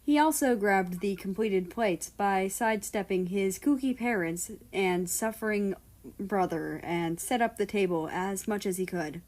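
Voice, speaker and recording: A woman reading fiction aloud as an expressive narrator of a story. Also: medium pitch, measured pace. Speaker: fan fiction reader.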